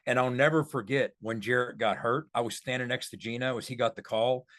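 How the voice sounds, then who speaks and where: A man's voice: medium pitch, steady tone, fairly quick, a bit bright. A man co-hosting a casual sports chat, bantering with colorful, folksy turns of phrase. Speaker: podcast co-host.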